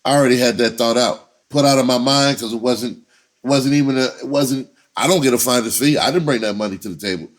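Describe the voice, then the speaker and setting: A man's voice: medium pitch, fairly quick. A man telling a personal story in casual, profane slang, repeating himself and acting out conversations as he goes. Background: music under the voice.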